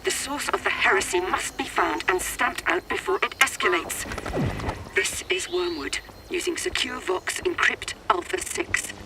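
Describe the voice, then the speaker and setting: A woman's voice: mid-range voice, sing-song, unhurried. A woman playing a solemn, zealous undercover agent, sending insistent scripted reports over a science-fiction radio link. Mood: urgent. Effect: radio transmission. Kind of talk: scripted sci-fi radio transmission. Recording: very noisy, crackling radio transmission.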